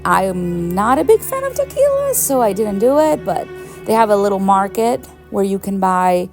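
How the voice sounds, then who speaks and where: A woman's voice: medium pitch, sing-song, moderate pace, a little mellow, soft background music. A young woman from Venezuela narrating her travel vlog in a chatty, enthusiastic way, laughing often. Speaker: travel vlogger. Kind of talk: casual travel vlog voice-over.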